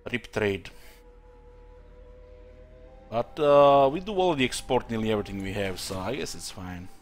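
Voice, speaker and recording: A man's voice: lowish voice, fairly bright tone. A man from Croatia with a Croatian-influenced accent, commenting live on a strategy game he is playing and thinking aloud with many fillers. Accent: Croatian-influenced English. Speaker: streamer.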